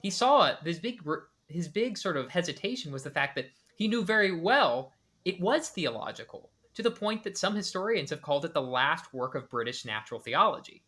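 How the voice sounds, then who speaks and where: A man's voice: on the high side, big pitch swings, a little fast, bright, forceful tone. A man hosting a podcast, reacting to a clip with analytical commentary, hedging and restarting often. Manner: analytical, with many restarts and hedged speculation. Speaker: podcast host.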